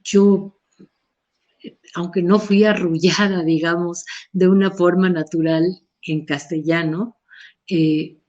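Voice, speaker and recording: A woman's voice: on the low side, steady tone, slow pace, darkish tone. A middle-aged Mexican woman with a Mexican Spanish accent, speaking thoughtfully at a talk with hesitations and restarts, in a slightly muffled recording. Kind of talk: personal reflective talk.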